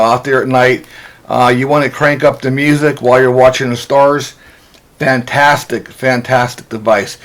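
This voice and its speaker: A man who is a ham radio operator, casually demonstrating radio gear to a camera, folksy and full of fillers and "okay?" check-ins. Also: mid-range voice. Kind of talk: casual product demo to camera.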